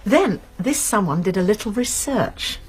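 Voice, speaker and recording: A woman's voice: medium pitch, animated, measured pace, husky. An actress playing a shrewd British woman amateur sleuth in a radio drama, explaining things precisely and earnestly with small stammers.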